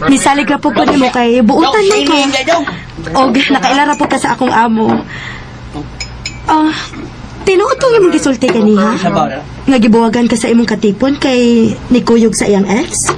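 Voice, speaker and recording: A woman's voice: high-pitched, normal speed, pauses often, a crowd in the background, close to an everyday voice. An actress with a Cebuano Filipino accent playing a young woman in love, frank about her feelings, in a radio drama. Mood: coy. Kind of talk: Philippine radio drama acting. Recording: noticeable background noise and ambience.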